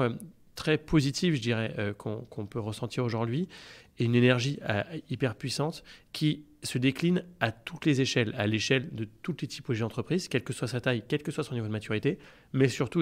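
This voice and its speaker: A man speaking conversationally as an interview guest, earnest, often repeating words as he searches for the next one. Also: mid-range voice. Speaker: podcast guest, company founder.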